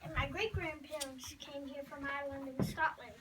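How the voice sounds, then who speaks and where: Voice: high-pitched, steady tone, moderate pace. A second-grade child reading lines in a scripted classroom play, with a distant mic and noisy sound. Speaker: second-grade student performer. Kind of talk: scripted classroom readers theater. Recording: distant mic, clearly noisy school recording.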